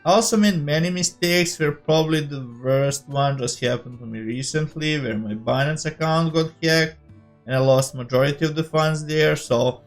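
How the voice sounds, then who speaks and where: A man with a slightly high voice and a Serbian accent, a native Serbian speaker, hosts an online channel. He delivers a prepared introduction straight to his audience. Faint music plays behind him. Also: normal speed. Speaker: host of a cryptocurrency investing channel. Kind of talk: scripted introduction to camera.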